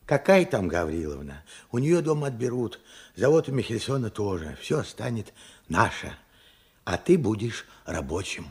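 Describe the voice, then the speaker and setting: An actor playing a frank, weary man in his late seventies in a radio drama, with a gravelly, husky voice and a halting, reflective manner. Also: lowish voice, sing-song, heavily acted. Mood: blunt. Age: 70s. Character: weary, frank 78-year-old Russian worker recalling his life. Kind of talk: Soviet radio drama acting.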